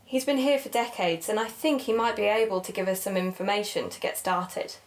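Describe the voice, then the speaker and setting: A woman's voice: medium pitch, a little fast, close to an everyday voice. A woman playing a keen young amateur podcaster in an audio drama, chatty and explanatory in manner. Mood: curious. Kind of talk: naturalistic audio drama dialogue and narration.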